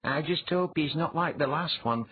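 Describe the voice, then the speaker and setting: A man voicing a polite, earnest and excitable young fighter in an audiobook story. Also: fairly high pitch, moderate pace, narrow, tinny audio, a little hiss or hum, voice pushed up, lightly acted. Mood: wry.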